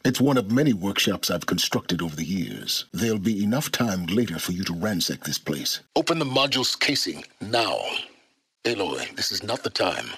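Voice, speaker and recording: A secretive, learned middle-aged man from a video game, with a very low and husky voice. He speaks in formal, precise phrases, commanding and condescending, and his pitch moves with great expression. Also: very animated, normal speed, a bit bright, no room sound, clean studio sound, heavily acted. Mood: curt, instructing. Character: secretive, learned older male wanderer, imperious and impatient. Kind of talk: video game character dialogue.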